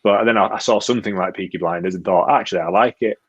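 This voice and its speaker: Young English male co-host, quick and rambling, low voice, muffled lo-fi audio. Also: slightly rough. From England. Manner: fast, rambling storyteller. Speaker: podcast co-host. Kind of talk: casual podcast chat.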